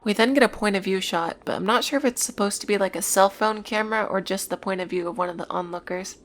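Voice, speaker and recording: A woman's voice: mid-range voice, fast talker. A woman narrating a scripted summary of a horror series, with informal, casual asides. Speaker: video essayist narrator.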